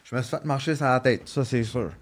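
A man's voice: mid-range voice, fairly quick. Joking Quebec French man telling stories. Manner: joking, frequent restarts and fillers. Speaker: podcast guest. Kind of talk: casual storytelling.

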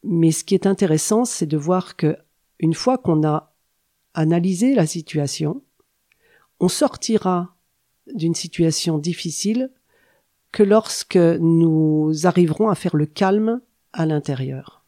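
A middle-aged woman, a practitioner of traditional Chinese medicine, explaining things in a calm, teacherly way with thoughtful pauses. She is slightly congested from a cold. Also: lowish voice. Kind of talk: explanatory interview talk.

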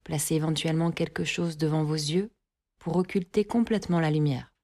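A woman guiding a yoga nidra relaxation, giving calm, measured instructions in short phrases with long pauses between them. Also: low-pitched. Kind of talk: guided relaxation meditation, scripted instructions.

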